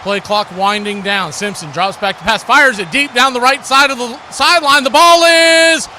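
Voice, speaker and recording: A man doing excited live play-by-play commentary of a football game. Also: very high-pitched, normal speed, a little hiss or hum.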